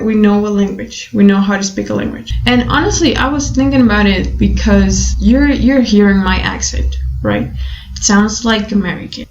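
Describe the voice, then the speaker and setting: A woman's voice: mid-range voice, darkish tone, a little hiss or hum. A young Brazilian woman whose first language is Portuguese, thinking aloud in a casual webcam vlog with many fillers. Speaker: vlogger. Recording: echoey room, dull webcam audio.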